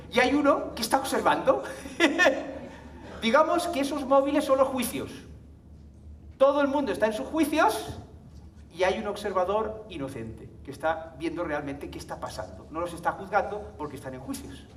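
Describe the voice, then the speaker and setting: A man's voice: sits high, sing-song, normal speed, a bit bright, slight background noise. A man from giving a live conference talk to an audience, chatty, often checking in with tag questions and laughing. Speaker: conference speaker. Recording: echoey hall, mic from far off.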